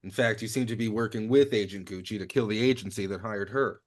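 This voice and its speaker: A man's voice: fairly low pitch, fast talker, close to an everyday voice. Awkwardly caring secret agent acted by a man. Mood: conciliatory. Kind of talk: comedic script table read.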